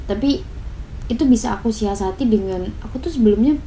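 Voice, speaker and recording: Young Javanese woman, mellow voice. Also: on the high side, a little fast, slight background noise, low-quality recording. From Semarang, Java, Indonesia. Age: 20s. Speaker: interview guest, student radio announcer. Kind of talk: casual interview chat.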